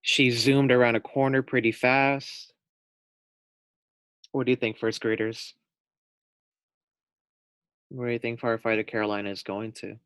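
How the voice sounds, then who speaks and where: Male teacher reading a picture book to small children: medium pitch, expressive, engages listeners directly, dry sound. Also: measured pace, long pauses, clean tone, no echo. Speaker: teacher reading a storybook aloud. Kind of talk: children's read-aloud with questions to pupils.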